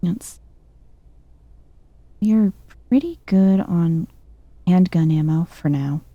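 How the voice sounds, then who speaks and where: A woman giving soft-spoken, chill ASMR-style commentary as she plays a video game, murmuring as if to herself. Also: fairly low pitch, slow pace, no room sound, muffled recording. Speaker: video game streamer, let's player.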